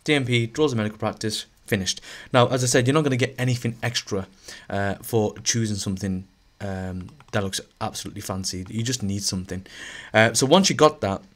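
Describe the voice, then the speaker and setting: A male teacher narrating a screen-recorded lesson, a little hoarse as he is losing his voice, talking through each step with fillers and restarts. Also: on the low side, fairly quick, hardly any pauses. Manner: relaxed, thinking aloud with fillers and restarts.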